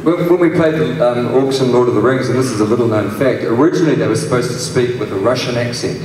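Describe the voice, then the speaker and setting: A man's voice: mid-range voice, quick pace, noisy background. A man joking playfully on a convention panel. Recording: echoey hall from far off with crowd.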